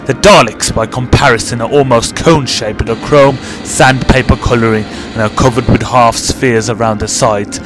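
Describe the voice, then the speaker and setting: A man's voice: medium pitch, steady tone, moderate pace, few pauses, over music. A man reading scripted audiobook narration for a science-fiction story.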